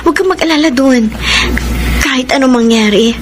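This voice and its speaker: Woman acting a high-voiced anxious young Filipina girlfriend. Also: a little fast, music in the background, close to an everyday voice. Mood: reassuring. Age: young adult. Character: anxious, devoted young college girlfriend of modest means. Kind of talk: Filipino radio drama acting, scripted.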